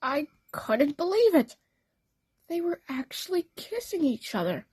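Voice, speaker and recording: High-voiced woman narrating a story. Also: sing-song, unhurried, a little mellow, no echo. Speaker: narrator and voice actor. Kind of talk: dramatic first-person story narration.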